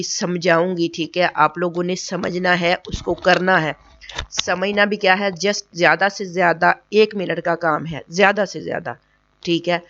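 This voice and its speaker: Woman, tutorial host, hoarse throat, flat and patient, lo-fi dry mic. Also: lowish voice, no echo. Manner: keeps checking in with "okay?" and repeats points patiently. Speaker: online earning tutorial host. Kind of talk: casual screen tutorial.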